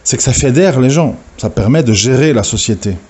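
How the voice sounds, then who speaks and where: A man's voice: medium pitch, lively intonation, brisk, darkish tone, breathy edge. A man giving an informal religious talk, hesitant and full of fillers and restarts as he lectures. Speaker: religious preacher. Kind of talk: informal recorded audio talk.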